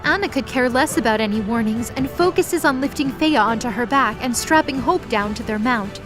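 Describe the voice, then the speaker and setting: A woman's voice: on the high side, moderate pace, music in the background. A woman narrating a scripted audio drama in the present tense as its storyteller.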